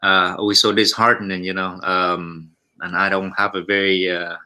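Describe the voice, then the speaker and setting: Vietnamese guy in his 40s, kinda low but really bright voice, stop-start talk, says yes sir a lot. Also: flat delivery. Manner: halting, many restarts, polite "yes, sir". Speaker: restaurant owner. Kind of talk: casual interview chat.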